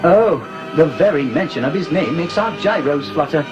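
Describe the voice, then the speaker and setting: A man's voice: fairly high pitch, animated, normal speed, highs cut off, lightly acted. An actor voicing a fussy, prim male protocol droid who speaks formally. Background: music.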